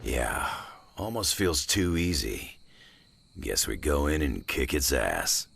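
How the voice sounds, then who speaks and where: A rugged video game tracker with an extremely low, gravel-filled voice. He is blunt and folksy, with a dry sardonic edge and a husky rasp. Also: sing-song, slow pace, a bit bright, exaggerated voice. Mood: dry, ready. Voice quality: very deep, gravelly, raspy. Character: gruff, wry, rugged monster-tracking tough guy. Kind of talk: video game character acting.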